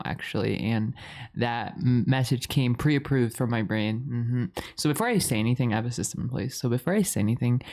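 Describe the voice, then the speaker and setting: A man's voice: mid-range voice, a little mellow. Rambling, playful man in boyfriend roleplay.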